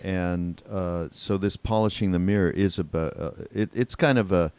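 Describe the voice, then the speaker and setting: Low voiced guy hosting a podcast, casual and halting, really dry sound. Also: a little mellow, clean tone, dry recording. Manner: halting, repeats words. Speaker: podcast host. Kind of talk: casual interview chat.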